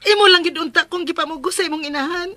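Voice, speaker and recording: A woman's voice: very high-pitched, fast talker, sharp tone, dry recording. An actress playing a clingy, lovesick young woman in a Filipino radio drama, pleading and emotive, with a Filipino accent. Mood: lovesick, pouting.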